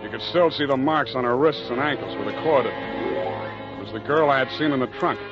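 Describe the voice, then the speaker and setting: Man acting hard-boiled detective, wry, deadpan, clipped, radio narration, muffled old recording, music behind. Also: mid-range voice, fairly quick, close to an everyday voice. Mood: wry narrating.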